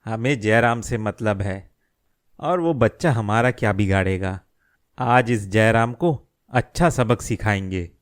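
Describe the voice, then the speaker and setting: A man's voice: fairly low pitch, measured pace, close to an everyday voice. A man voicing a menacing, smug village thug in a cartoon moral story. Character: menacing village thug scheming to seize an old shop.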